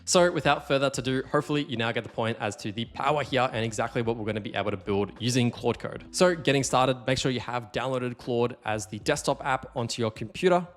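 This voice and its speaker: A man hosting a casual software tutorial, explaining things conversationally and stressing the key points. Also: mid-range voice, fast, hardly any pauses. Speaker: tutorial host.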